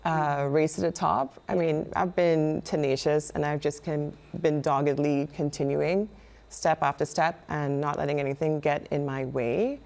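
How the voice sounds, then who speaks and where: A woman's voice: low-pitched, fairly even intonation, unhurried, gravelly, a little mellow. A woman with a Trinidadian accent, a designer guest on a live morning TV show, talking earnestly with many fillers and restarts. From Trinidad and Tobago. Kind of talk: live TV interview.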